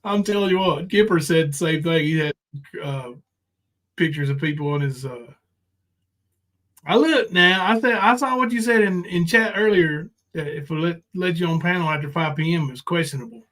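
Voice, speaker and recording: A man's voice: a little high, lively intonation, normal speed, a bit bright. A middle-aged man hosting a casual livestream, chatting in an informal, foul-mouthed way. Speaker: livestream host.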